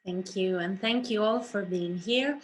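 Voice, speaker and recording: A woman's voice: medium pitch, a little slow, a little hiss or hum, poor audio. A woman speaking English as a second language, telling a story expressively to an audience in a theatrical way. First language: not English. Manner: theatrical, acting out dialogue. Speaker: speaker, writer and director. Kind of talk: expressive oral storytelling in a presentation.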